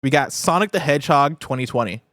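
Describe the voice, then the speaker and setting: A man co-hosting a casual video game panel, talking in an animated, opinionated way. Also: mid-range voice, fast talker, no room sound, studio quality. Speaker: co-host on a video game talk show.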